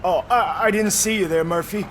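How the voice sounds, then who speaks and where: A man's voice: high voice, moderate pace, fairly bright tone, slight background noise. An actor playing an uneasy, withdrawn policeman in a video game, with a hesitant, nervous way of talking. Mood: uneasy, polite.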